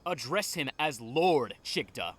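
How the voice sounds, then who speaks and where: A man's voice: medium pitch, sing-song, slow pace, husky, heavily acted. A man playing a zealous, starry-eyed little sprite in a video game, boastful, dramatic and gushing with reverence. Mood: worshipful, boastful. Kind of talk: video game character acting. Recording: music behind the voice.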